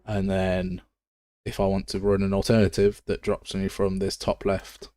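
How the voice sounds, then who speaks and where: A man with a very deep voice and an English Midlands accent, chatting casually and bluntly as he thinks aloud through a live game development tutorial. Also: monotone, moderate pace, dark tone, dry recording.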